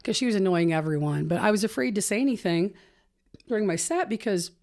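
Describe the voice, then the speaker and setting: An older woman with a low voice, a comedian chatting casually on a podcast and rambling through stories with laughs. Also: lively intonation, fast, darkish tone. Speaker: comedian guest.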